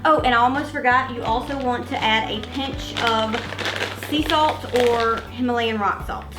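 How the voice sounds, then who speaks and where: A woman's voice: fairly high pitch, moderate pace, echoey room, over music. A young woman with a Mississippi Southern accent hosting a casual cooking tutorial, explaining each step in a chatty, friendly way. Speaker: cooking vlogger host.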